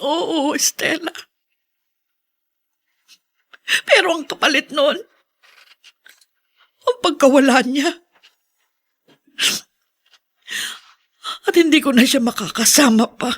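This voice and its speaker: A woman's voice: high-pitched, moderate pace, natural voice. An actress playing a devoted, grieving middle-aged mother, speaking in a sentimental, plaintive way in a TV melodrama. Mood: sorrowful. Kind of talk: TV melodrama acting.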